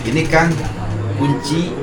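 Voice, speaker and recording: A man's voice: fairly high pitch, steady tone, slow pace, recorded from a distance, a crowd in the background. A man from Indonesia giving an earnest speech as host of a village ceremony, growing emphatic at times. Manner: earnest, emphatic when defending himself. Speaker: host handing over a prayer house. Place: outdoors in a village. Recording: echoey, from afar, with noise.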